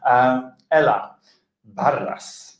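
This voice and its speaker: A man's voice: medium pitch, very slow. Man moderating in an echoey hall. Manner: hesitant, many fillers. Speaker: panel moderator. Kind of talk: moderating a panel. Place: conference hall.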